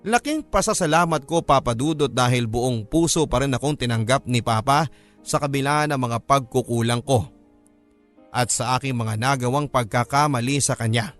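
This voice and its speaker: Music plays clearly under a Filipino man's dramatic radio narration. The pitch sits mid-range as he voices a remorseful grown child recounts a family story in the first person. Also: moderate pace, a bit bright, natural voice. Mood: grateful. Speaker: story narrator reading a letter.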